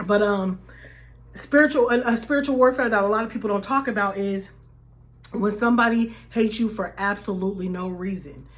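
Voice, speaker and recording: A woman life coach, slightly hoarse, talking animatedly to her viewers in a casual faith-based vlog. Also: medium pitch, fairly quick. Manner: animated, addresses viewers as y'all.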